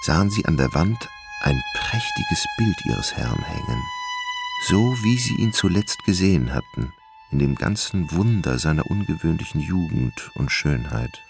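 A man narrating a dark gothic tale as the storyteller of a literary radio drama, grave and measured. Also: rumbling low, big pitch swings, gravelly, music in the background, exaggerated voice. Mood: grim, dramatic.

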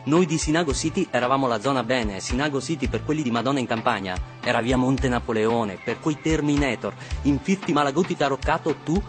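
An actor playing a naive, excitable boy and his wry grown self in a reverberant theatrical radio monologue. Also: mid-range voice, fairly quick, hardly any pauses, natural voice. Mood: wry narrating. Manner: excitable, repeats words, comically bewildered. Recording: echoey hall reverb, faint music.

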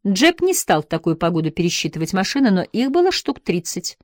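A woman narrator giving a measured, expressive audiobook reading of literary prose. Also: medium pitch, no echo.

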